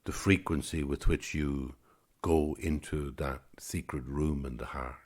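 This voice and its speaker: A man's voice: extremely low pitch, steady tone, measured pace, a little mellow. A man in his sixties, a podcast host, talking alone in a reflective, musing way and often repeating words as he gathers his thoughts. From Ireland. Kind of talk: reflective solo podcast talk.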